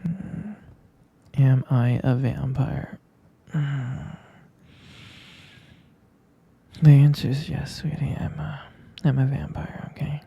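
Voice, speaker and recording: Gentle half-vampire boyfriend, performed by a man, ASMR roleplay. Heavy vocal fry, drowsy halting whispers, unhurried and soothing. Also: medium pitch, fairly even intonation, heavily acted. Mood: sleepy, tender. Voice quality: breathy, husky whisper, gravelly fry.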